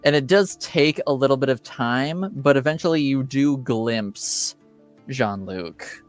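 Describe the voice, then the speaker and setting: A man's voice: mid-range voice, a little slow, soft background music. A man acting as game master, narrating a tabletop roleplaying game in an informal, improvised way.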